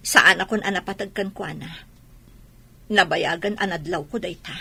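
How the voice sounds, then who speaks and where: A woman playing a patient, hurt wife and gentle mother with an Ilocano Filipino accent in a radio drama. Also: fairly low pitch, moderate pace, gravelly, breathy edge, exaggerated voice. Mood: resigned, hurt.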